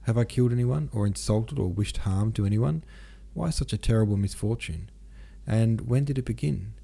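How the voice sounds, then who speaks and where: Anguished young Russian count for an audiobook read by a man: questioning, soft-edged dark timbre. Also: fairly low pitch, normal speed, gravelly, husky. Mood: anguished, bewildered. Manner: anguished, questioning inner monologue. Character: distraught young Russian count losing at cards. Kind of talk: audiobook character reading.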